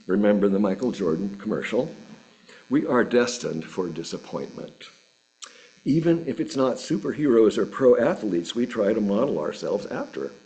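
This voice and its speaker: Male sermon reader, mature, lowish pitch, very animated melody, deliberate scripted delivery with weighted pauses. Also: low-quality recording. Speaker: reader of a sermon at a church service. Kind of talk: sermon read aloud from a script.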